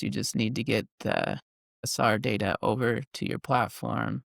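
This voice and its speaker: Gravelly-voiced male interviewer. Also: fairly low pitch, dry recording, clean studio sound. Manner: recaps and checks points with questions. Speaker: podcast host interviewing a guest. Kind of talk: conversational interview about technology.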